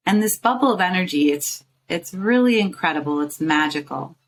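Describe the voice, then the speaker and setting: Low-voiced woman guiding a meditation. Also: expressive. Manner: soothing, gently coaxing, unhurried phrases with pauses. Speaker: meditation guide and show host. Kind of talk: guided visualization meditation.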